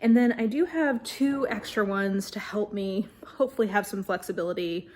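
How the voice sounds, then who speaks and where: A woman's voice: mid-range voice, moderate pace, a little mellow. A woman chatting informally to camera as a book vlogger, hedging often with "like" and "kind of". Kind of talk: casual chatty monologue to camera.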